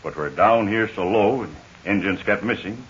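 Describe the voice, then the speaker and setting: A worn-out stranded pilot speaks haltingly in a 1940 radio serial, as an actor plays him. His voice sits low and tired. The transcription is muffled with faint hiss. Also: close to an everyday voice. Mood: weary. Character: weak stranded adult male pilot, major. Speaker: Major Steele. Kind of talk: 1940s radio acting.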